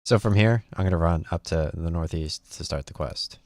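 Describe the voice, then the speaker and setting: A man's voice: low voice, moderate pace, no room sound, studio quality. A man hosting a gaming video, giving casual gameplay commentary and thinking aloud in a rambling way.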